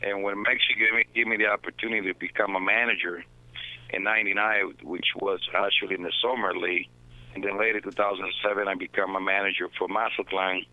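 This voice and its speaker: A man's voice: low voice, little pitch movement, fast talker, dry recording. A man on a tinny phone line. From Dominican Republic. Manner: earnest, reflective storytelling. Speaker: baseball manager guest. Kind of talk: phone interview. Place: at home.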